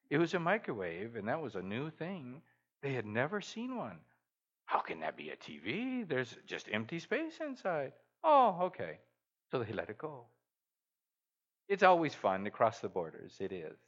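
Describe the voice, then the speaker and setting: A middle-aged man who grew up in Guatemala, preaching a sermon as a guest speaker and telling stories, acting out the people he quotes. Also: muffled recording. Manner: storytelling, acts out quoted voices with big pitch jumps. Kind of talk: sermon with anecdotes.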